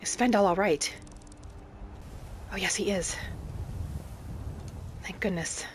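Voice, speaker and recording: A woman playing a gentle, earnest female wood-elf adventurer, musing aloud as she narrates a roleplay game in character. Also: medium pitch, slow, a little hiss or hum, natural voice. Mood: thoughtful.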